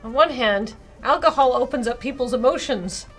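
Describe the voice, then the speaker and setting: Woman game streamer, highish voice, playful casual narration of dialogue, soft music in the background. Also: normal speed. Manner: playful, giggly.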